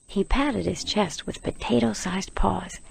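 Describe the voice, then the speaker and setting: Creaky, hushed little girl storyteller. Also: mid-range voice, a little slow, heavily acted. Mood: hushed, watchful. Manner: hushed, storytelling in rhymed verse. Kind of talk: scripted radio play narration.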